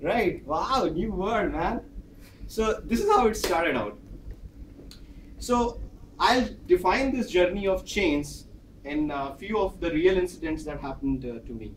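A man in his thirties with an Indian English accent, a Hindi speaker, giving an animated prepared speech and acting out dialogue while pacing a large office training room. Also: sits high, a little slow, slight background noise. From northern India. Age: 30s. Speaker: speaker at a club meeting. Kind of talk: prepared personal speech with storytelling. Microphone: far camera. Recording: echoey room, far from the mic.

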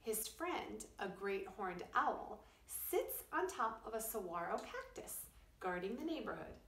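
A woman's voice: medium pitch, big pitch swings, measured pace, a bit bright. A middle-aged woman, a preschool teacher, reading a children's story aloud and explaining simply for young listeners. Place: small wood-panelled room indoors. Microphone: camera a few feet away. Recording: roomy, distant camera microphone.

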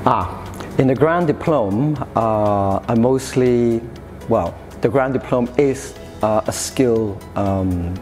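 Interview guest, man in his 30s, mellow tone, halting delivery with fillers, faint music underneath. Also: mid-range voice. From Hong Kong, raised in the UK. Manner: hesitant, many fillers and restarts. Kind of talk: casual interview answers. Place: café-style room with sofa, indoors.

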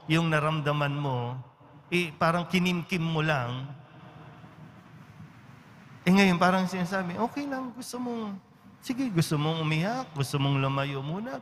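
A man with a Filipino accent, a priest giving a homily in a relaxed, conversational way, often checking in with his listeners. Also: fairly high pitch. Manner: conversational, with frequent tag questions. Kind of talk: Sunday-style homily. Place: large church. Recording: church reverb with faint background noise.

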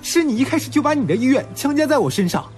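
A man playing a blunt, teasing young university student who is quick to flare up, in an audio drama that he also narrates. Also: very high voice, very animated, a little mellow. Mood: angry, fed up. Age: young adult. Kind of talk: Mandarin audio drama acting and first-person narration. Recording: background music under the voice.

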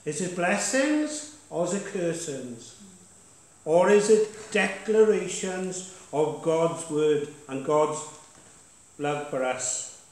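Man pastor, slightly raised pitch, firm exhortation to a congregation, heavy church echo. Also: expressive, slow pace, a little mellow. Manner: emphatic, declaring, asks the congregation questions. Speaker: pastor leading worship. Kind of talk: sermon exhortation. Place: church sanctuary. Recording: echoey church hall.

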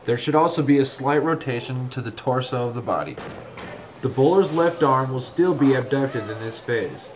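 A man reading a scripted instructional narration in a measured, formal, step-by-step way. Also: mid-range voice, slight background noise, poor audio.

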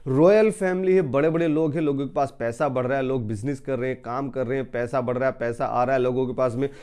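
A man in his thirties from India giving an educational business talk to camera on a lapel mic, emphatic, swinging from soft to loud, with frequent hesitations. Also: medium pitch, fast, clear, resonant tone. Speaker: business trainer. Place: indoor studio with green screen.

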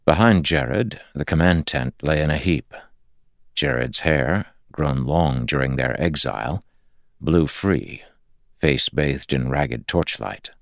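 A man with an extremely deep voice narrates a fantasy audiobook. His tone has a gravelly creak, and the recording is dry and close. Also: slow pace, no echo. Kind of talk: scripted fantasy fiction narration.

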